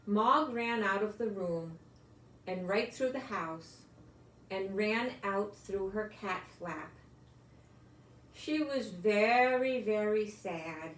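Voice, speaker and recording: An older woman reading a children's picture book aloud, expressively, with gentle exclamations and asides to young listeners. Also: medium pitch, slow pace. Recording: echoey room with some background noise.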